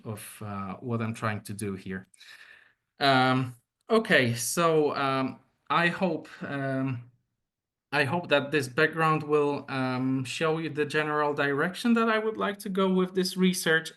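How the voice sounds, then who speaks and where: A young man with a Polish accent, a native Polish speaker, giving an online academic seminar talk with many pauses, fillers and repeated words. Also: mid-range voice, fairly bright tone. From Poland.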